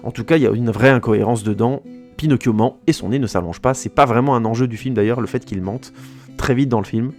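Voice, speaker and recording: A man's voice: medium pitch, quick pace, darkish tone, soft background music. A French-speaking man giving a casual, rambling film review for his podcast, often restarting his sentences and hesitating.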